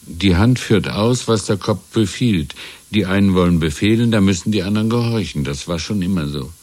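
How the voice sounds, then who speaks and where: An actor playing a wistful, deferential old shopkeeper who talks in a halting, musing way, in a 1970s radio drama. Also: male voice, low voice, a little mellow. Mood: musing, helpless. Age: older. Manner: halting, musing, repeating himself. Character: wistful, deferential old shopkeeper reminiscing about lost freedom.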